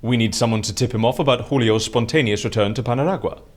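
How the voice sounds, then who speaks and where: A man's voice: medium pitch, quick pace, lightly acted. A man playing a fussy, eccentric imprisoned mastermind in an audio drama, speaking in a precise, musing way. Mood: musing, plotting. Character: imprisoned male mastermind planning fake assassinations, fussy and eccentric.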